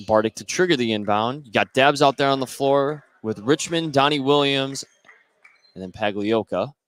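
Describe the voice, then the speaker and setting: A man's voice: mid-range voice, moderate pace. A man doing live play-by-play radio commentary of a basketball game.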